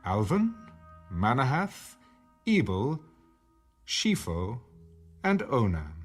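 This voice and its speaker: A man narrating an audio Bible, reading scripture in a measured, solemn way with careful enunciation. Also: medium pitch, huge pitch swings, breathy edge, faint music behind.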